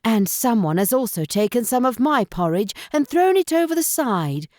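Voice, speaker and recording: A woman's voice: fairly high pitch, big pitch swings, moderate pace, darkish tone, no room sound, clean studio sound, pitched above the performer's own voice. A woman voicing a fussy mother bear who complains emphatically in a children's storybook. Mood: complaining. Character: middle-voiced mother bear, fussy adult female.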